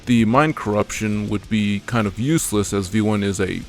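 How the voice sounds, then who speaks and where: A man reading scripted narration for an analysis video in an explanatory, measured way. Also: fairly low pitch. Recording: music behind the voice.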